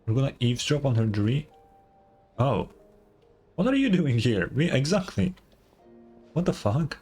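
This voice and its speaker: A man's voice: mid-range voice, big pitch swings, a little slow, a little mellow, slightly rough, soft background music. A man streaming a game, reading its dialogue aloud and adding casual commentary.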